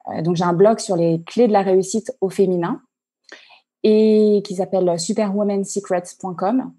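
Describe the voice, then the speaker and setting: A woman coach answering interview questions on a video call, halting and full of fillers and restarts. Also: medium pitch, fairly even intonation, dark tone, breathy edge. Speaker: online coach and entrepreneur.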